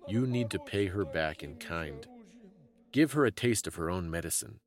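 A man's voice: deep voice, animated, normal speed, crowd noise. A man voicing a stern, worldly older uncle in a storybook, firm and insistent. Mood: stern advice. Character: stern gray-bearded uncle, worldly expert in curses.

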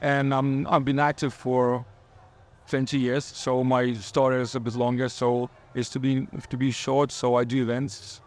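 A man's voice: medium pitch, level tone, unhurried, darkish tone. A man from Lithuania, a panel guest, rambling and searching for words as he talks about himself. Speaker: panel guest, event organizer and DJ. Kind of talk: casual self-introduction.

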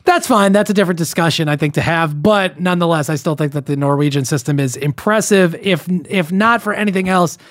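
A man's voice: on the high side, fairly quick, few pauses, no room sound, studio quality. A young man co-hosting a podcast, reading listener emails aloud and breaking in with his own comments. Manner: reads emails aloud with running commentary, frequent restarts. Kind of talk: casual podcast chat.

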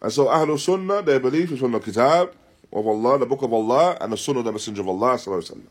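Man, slightly husky mid-pitched voice, Islamic teacher, deliberate halting phrasing, emphatic restatement, Arabic recitation. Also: expressive. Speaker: religious teacher giving a lesson. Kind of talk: Islamic lecture explaining a text, with Arabic recitation. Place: live-streamed lesson at an Islamic centre.

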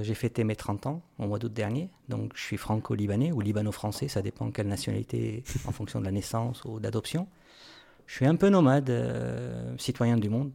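A man in his forties from Lebanon, a podcast guest speaking calmly and thoughtfully in a large room of his home, pausing on fillers. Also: fairly low pitch, vocal fry. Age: 40s. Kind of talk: reflective conversation.

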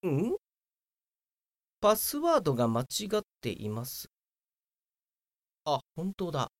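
A woman's voice: low-pitched, wildly swooping pitch, slow pace, exaggerated voice. A woman playing a young male office worker in an audio drama, self-assured and grumbling. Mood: bewildered. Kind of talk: Japanese audio drama acting.

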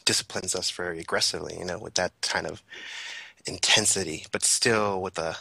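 A man's voice: low voice, steady tone, brisk, vocal fry, bright, forceful tone, husky, dry recording, low-quality recording. A man speaking as a guest in a casual, reflective interview, informal and peppered with "you know". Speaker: guest, metal singer.

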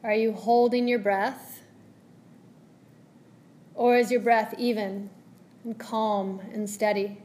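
Very slow, even-toned woman guiding meditation. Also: medium pitch. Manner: soothing, gentle, measured with long pauses. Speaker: yoga nidra meditation teacher. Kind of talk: guided meditation.

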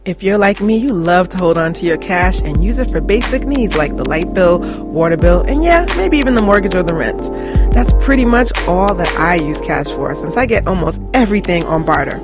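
A woman's voice: lowish voice, animated, fairly quick. A woman giving a scripted first-person testimonial in a radio advertisement. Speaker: ad presenter. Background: music.

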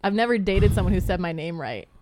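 A woman's voice: on the low side, animated, fast talker, a little mellow, slight background noise. A young woman with an American accent chatting casually and candidly as a joking podcast guest. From Utah, United States. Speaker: podcast guest, stand-up comic. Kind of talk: casual chat.

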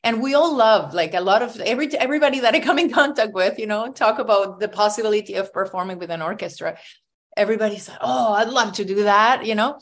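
A woman's voice: mid-range voice, animated, fast talker. A woman from Venezuela, a singer and bandleader, speaking earnestly in a radio interview, often restarting and saying "you know".

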